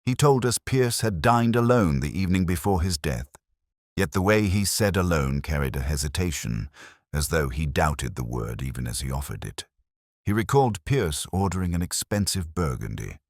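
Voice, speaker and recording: A man reading a scripted first-person detective story in a measured, brooding, literary manner. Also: a bass voice, no room sound, studio quality. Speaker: narrator.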